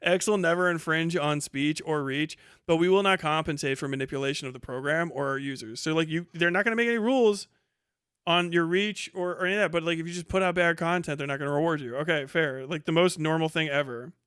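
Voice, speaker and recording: A man with a high, clean and smooth voice, recorded dry and close. He hosts a livestream in a casual reaction style, blunt and mocking, with plenty of swearing. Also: fast, fairly bright tone, no room sound. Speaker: livestream host. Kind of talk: casual live reaction commentary, reading posts aloud.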